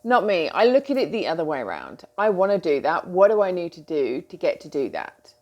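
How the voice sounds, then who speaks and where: A middle-aged British woman talking casually to her followers as a coach, chatty and animated, with frequent ums and asides. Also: fairly low pitch, fairly quick. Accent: British English. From Britain. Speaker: coach and host. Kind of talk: casual talk to camera.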